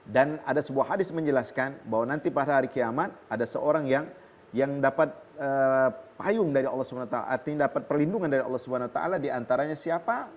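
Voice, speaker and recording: A man with an Indonesian accent, a preacher answering a viewer's question on a TV program, explaining calmly and quoting Arabic scripture. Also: medium pitch, quick pace. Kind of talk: religious talk on a television program. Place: television studio.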